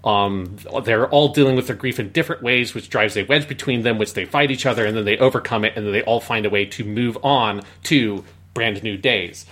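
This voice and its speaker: A man's voice: on the low side, fairly quick, hardly any pauses, fairly bright tone. A man co-hosting a podcast, giving casual but analytical commentary in a review discussion, explaining at length with emphasis. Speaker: podcast co-host.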